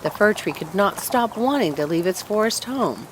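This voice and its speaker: A woman narrating a children's story aloud in an expressive read-along style. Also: medium pitch, normal speed, background noise.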